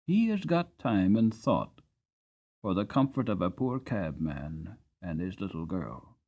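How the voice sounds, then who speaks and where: A humble working cabman and father from a storybook, voiced by a male narrator. He talks gently and earnestly, with a grateful, modest temper. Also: low-pitched, sing-song, a little slow, muffled recording, pitched below the performer's own voice, heavily acted. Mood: grateful admiration.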